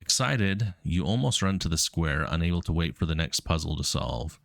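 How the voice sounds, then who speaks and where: A man's voice: sits low, moderate pace, vocal fry, no room sound, studio quality. A man with a cold and a cough drop in his mouth, casually reading puzzle text and thinking aloud. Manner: casual, relaxed, many ums. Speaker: coding streamer.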